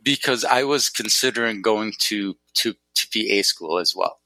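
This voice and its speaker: A man's voice: fairly low pitch, moderate pace, gravelly, sharp tone, no echo, poor audio. A middle-aged man chatting as a guest in an interview, talking loosely with lots of fillers and restarts. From Northwest Wisconsin, USA. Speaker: guest, neurologist. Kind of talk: casual interview chat.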